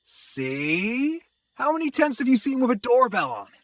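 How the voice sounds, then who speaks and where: A man's voice: very high-pitched, sing-song. A man playing an earnest, polite synthezoid adventurer in a fantasy audio drama. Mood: reasoning. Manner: earnest, polite, measured reasoning.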